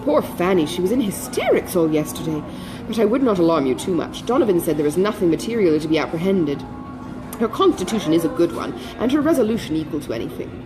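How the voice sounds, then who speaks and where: Lady narrator doing a pompous brother in an old novel, solemn n long-winded, very animated up-and-down pitch, aggrieved. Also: fast talker, music in the background, low-quality recording. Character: pompous, self-righteous married gentleman, solemnly aggrieved brother. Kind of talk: classic novel dialogue in audiobook reading.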